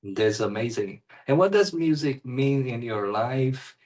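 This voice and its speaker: A man hosting an interview, asking friendly questions and reacting briefly, with somewhat halting phrasing. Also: medium pitch, expressive, darkish tone. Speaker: interviewer, host.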